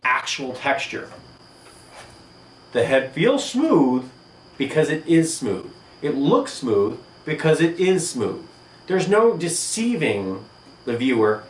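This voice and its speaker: A man teaching an art lesson on video, speaking emphatically in short phrases with pauses. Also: a little high, big pitch swings. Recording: echoey room, distant mic, noisy.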